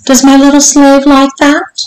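A woman voicing a domineering, teasing, strict mistress in an audiobook, speaking in an imperious, deliberate way. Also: medium pitch, expressive, lightly acted. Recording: roomy, echoing room sound.

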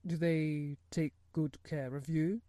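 A woman voicing a weary, fretful middle-aged man who speaks in an absent-minded, halting, worried way in a storybook narration. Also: low voice, steady tone, warm tone, dry recording, voice pushed down. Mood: curt, weary.

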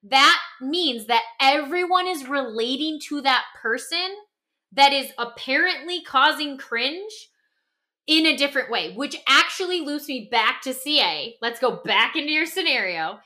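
Female podcast co-host: high, forceful bright voice, conversational, emphatic, punctuates points with quick check-ins. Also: animated. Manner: emphatic, checks in often with "okay?" and "right?"; acts out imagined reactions in quoted voices. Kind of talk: animated conversational podcast talk.

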